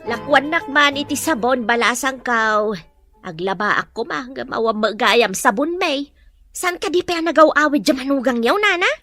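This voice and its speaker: An actress playing a nosy, brazen village gossip in an Ilocano audio drama, with an Ilocano accent and a sing-song teasing manner. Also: female voice, sits high, lively intonation, normal speed, sharp tone, faint music behind, heavily acted. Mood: prying.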